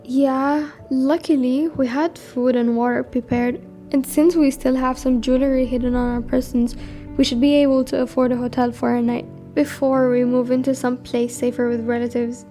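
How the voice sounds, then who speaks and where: Woman acting high-voiced, guilt-ridden young refugee girl. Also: little pitch movement, normal speed, warm tone, soft background music, lightly acted. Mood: calm, recounting. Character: teenage girl refugee fleeing war, guilt-ridden and resolute. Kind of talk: amateur radio drama acting.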